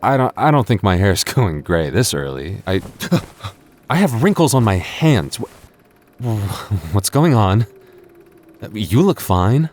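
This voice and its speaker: A man playing a devoted, earnest young boyfriend in an intimate audio roleplay, speaking haltingly with stammers. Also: on the low side, very animated, darkish tone, heavily acted. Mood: alarmed, confused. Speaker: voice actor.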